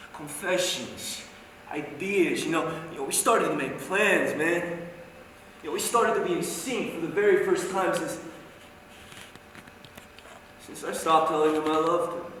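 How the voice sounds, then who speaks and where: A male actor plays an anguished, streetwise younger man delivering a theatrical monologue. His voice is high and bright, emphatic and full of swearing, broken by dramatic pauses. It is recorded from a distance in a very reverberant hall. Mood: tender. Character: anguished, foul-mouthed younger man grieving over his soldier brother. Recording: very echoey hall, distant mic, faint noise.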